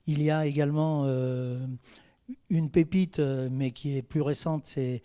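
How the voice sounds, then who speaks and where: A man speaking off the cuff as a mayor on a panel, haltingly, often repeating words as he searches for the next. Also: on the high side, fairly even intonation, warm tone, smooth. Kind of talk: spontaneous panel answer.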